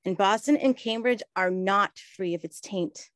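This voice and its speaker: An actress playing an earnest, educated woman who explains things in a measured way in a 1920s radio play. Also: mid-range voice, lightly acted. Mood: grave.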